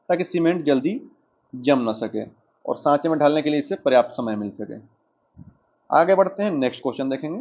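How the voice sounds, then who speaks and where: A man with an Indian accent teaching an exam lesson, explaining questions and answers directly to students. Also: a little high. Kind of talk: lesson, working through quiz questions and explanations. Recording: dull lo-fi microphone sound.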